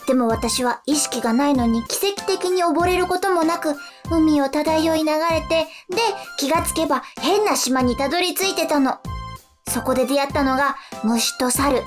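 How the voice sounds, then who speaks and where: A very high, girlish voice from an actress plays a castaway schoolgirl in a radio drama. She is blunt and exasperated, narrating in chatty, complaining asides. Music plays clearly underneath. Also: moderate pace, natural voice. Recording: music behind the voice.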